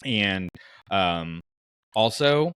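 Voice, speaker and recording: A man's voice: on the low side, expressive, drawn-out words, a bit bright, clear, resonant tone, no room sound, studio quality. A man hosting a casual fan podcast, talking through his choices in a conversational way with frequent asides. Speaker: podcast host.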